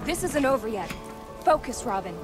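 A woman's voice: fairly high pitch, big pitch swings, moderate pace, over loud music. A young woman playing a serious, determined masked heroine in a video game, firm and focused. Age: young adult. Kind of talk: video game dramatic acting.

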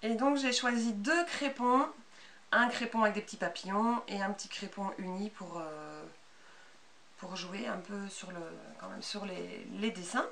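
A woman's voice: mid-range voice, unhurried, vocal fry, a bit bright. Belgian woman chatting, a bit far from mic. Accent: Belgian French. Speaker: sewing vlogger presenting handmade clothes. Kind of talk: casual, unscripted show-and-tell. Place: small bright indoor sewing room. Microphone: camera a couple of metres away. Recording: distant camera mic with background noise.

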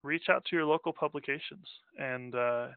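A man's voice: fairly high pitch, normal speed, creaky, clean tone, no echo. A man from Denmark presenting a business webinar in a casual, rambling way, full of fillers and restarts. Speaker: company president presenting a webinar. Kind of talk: unscripted webinar presentation over slides. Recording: tinny phone-like audio, dry.

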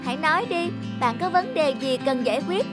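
High-voiced bossy little witch. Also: lively intonation, brisk. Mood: pushy. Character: bossy little witch girl, impatient and mischievous. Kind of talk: children's cartoon dubbing. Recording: music under the voice.

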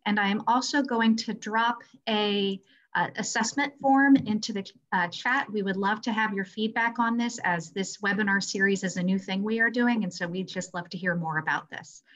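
A woman's voice: medium pitch, normal speed, fairly bright tone. A woman hosting a webinar and moderating questions over a video call. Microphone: video call microphone. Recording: dull lo-fi video call audio.

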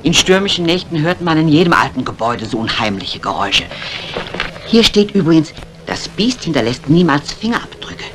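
An actress playing a talkative, excitable maid with dramatic delivery in a 1950s German radio mystery. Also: female voice, sits low, a little fast. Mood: ominous, gossipy. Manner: dramatic, eager storytelling. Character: talkative, excitable lady's maid, boastful yet easily frightened. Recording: noisy old 1950s radio recording.

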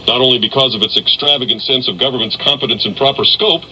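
A man's voice: mid-range voice, quick pace, rough recording. A man reading scripted political commentary over AM radio. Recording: sharp AM radio with faint noise.

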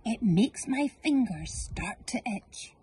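A woman's voice: on the high side, animated, slow pace, mellow, a little hiss or hum. A woman voicing two boastful male thieves in a children's storybook, gleeful as they plot. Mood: greedy.

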